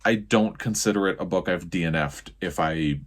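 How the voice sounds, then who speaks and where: A man's voice: low-pitched, moderate pace. An American man talking casually as a book vlogger about his reading, rambling and often correcting himself as he goes.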